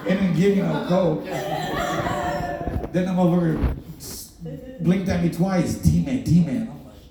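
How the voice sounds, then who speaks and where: A man's voice: fairly high pitch, huge pitch swings, slow, creaky, pauses often, noisy background. Puerto Rican-accented man, roomy and distant. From Puerto Rico. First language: Spanish. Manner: rambling, self-deprecating, improvised storytelling. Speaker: stand-up comedian. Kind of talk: open-mic stand-up set. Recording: distant, roomy live recording.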